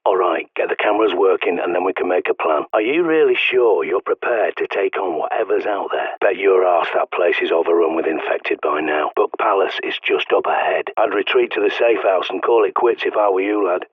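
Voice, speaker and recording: Game role: a husky, low-voiced British prepper on the radio, blunt, cynical, profane. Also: male voice, a little fast, few pauses, no room sound. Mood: gruff. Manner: sardonic, blunt, swears often. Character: gruff male survivalist radio guide, cynical. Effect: radio transmission. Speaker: radio guide character. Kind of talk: video game voice acting. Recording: tinny radio line.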